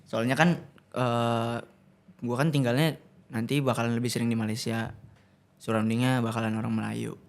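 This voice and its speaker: A man, a podcast guest telling stories in casual chat, animated and laughing often. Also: mid-range voice, moderate pace, gravelly, pauses often.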